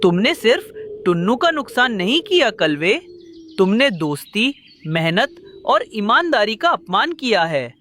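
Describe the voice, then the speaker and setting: A woman's voice: mid-range voice, big pitch swings, measured pace, a bit bright, slight background noise. A woman voicing a loyal, urgent, indignant parrot in a children's cartoon. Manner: urgent, emphatic. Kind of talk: Hindi kids' cartoon dialogue.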